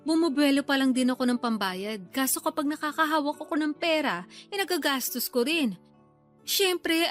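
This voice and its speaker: A woman playing a hard-up, complaining middle-aged older sister and mother in a Filipino radio drama. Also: high voice. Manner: complaining, defensive, blunt. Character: aggrieved, hard-up older sister, struggling mother of many children.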